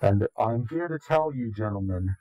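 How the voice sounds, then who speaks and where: Grave, low-voiced man with slow pauses. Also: expressive, warm tone, poor audio. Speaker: interviewee. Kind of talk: ominous recorded interview.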